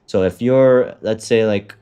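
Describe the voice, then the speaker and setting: A fairly low-voiced man talks a student through a trading platform step by step. His tone is casual and loose, full of fillers, with the occasional swear dropped in. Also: unhurried, dark tone, smooth. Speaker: trading coach. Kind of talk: casual walkthrough on a call.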